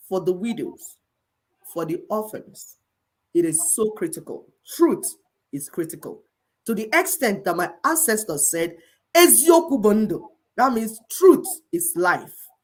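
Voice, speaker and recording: A middle-aged Igbo woman with a Nigerian accent, giving impassioned commentary in an emphatic, repetitive, preaching cadence. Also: mid-range voice. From Igbo people of Nigeria.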